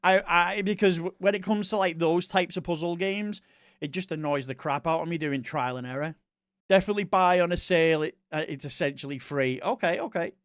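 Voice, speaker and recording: A man's voice: a little high, fairly quick, smooth, no room sound, clean studio sound. A man with a Northwest English accent, a mix of Manchester and Liverpool, chatting casually on a live stream, rambling and correcting himself as he reads viewer messages.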